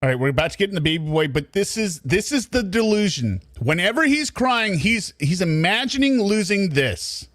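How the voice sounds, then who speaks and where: A man's voice: high-pitched, big pitch swings, normal speed, few pauses, fairly bright tone, no echo. A man hosting live true-crime commentary for a chat audience, speaking in a blunt, mocking way. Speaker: host of commentary show.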